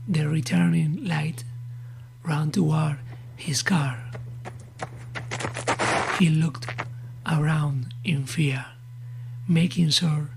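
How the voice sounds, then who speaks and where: A woman reads a scripted horror tale in a low, hushed voice with heavy creak. She goes extremely slowly, breathy and suspenseful, holding each short phrase apart. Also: slight background noise, low-quality recording. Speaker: horror story narrator.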